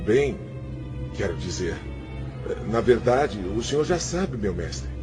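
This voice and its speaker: A man's voice: lowish voice, big pitch swings, slow. A man acting in a radio drama as a self-pitying, defiant male spirit, theatrical and complaining as he justifies himself. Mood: uneasy confession. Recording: music under the voice.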